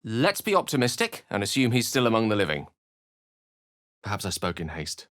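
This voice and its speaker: An actor playing a courteous, bookish human wizard with a melancholy streak, speaking articulate, theatrical lines for a video game. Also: male voice, fairly low pitch, huge pitch swings, normal speed, a bit bright. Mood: regretful, confiding.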